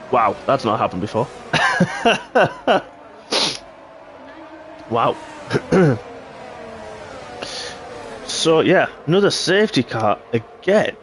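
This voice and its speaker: A man giving live play-by-play commentary on a sim racing league, hoarse and clearing his throat after losing his voice. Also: medium pitch, drawn-out words, long pauses, noisy background. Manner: excitable play-by-play, rising sharply at incidents. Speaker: race commentator.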